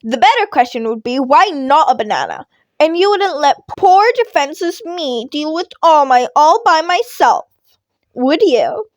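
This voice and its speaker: A woman's voice: high-pitched, animated, measured pace, no room sound, voice pushed up. A woman voicing a cheeky, playful teenage boy in a fan fiction reading, with an insistent, teasing manner. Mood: teasing, confident. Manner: bright, teasing, insistent, emphatic. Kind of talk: podfic character voice.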